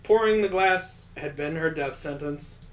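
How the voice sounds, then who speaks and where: A man voicing a coldly cruel, vengeful young killer who narrates a visual novel in a grim, deliberate, dramatic reading. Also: a little high, close to an everyday voice. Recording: roomy, echoing sound.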